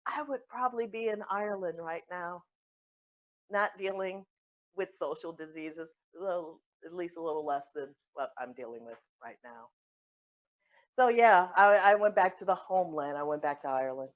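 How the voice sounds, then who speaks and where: A woman playing a frazzled, sarcastic mother in an improvised comedy sketch, speaking in a halting, conversational way. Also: on the low side, highs cut off, lightly acted. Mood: wry.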